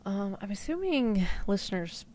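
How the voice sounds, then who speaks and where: A woman's voice: medium pitch, sing-song, normal speed, warm tone, a little hiss or hum. A woman streamer chatting casually and playfully, full of incredulous exclamations and mild swearing. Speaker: VTuber streamer and ASMR roleplayer. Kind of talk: casual livestream chat.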